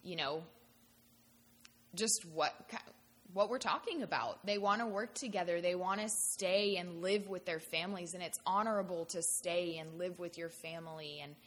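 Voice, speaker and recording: A woman from Thailand speaking in a casual panel discussion in a measured, slightly halting way. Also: lowish voice, big-room sound.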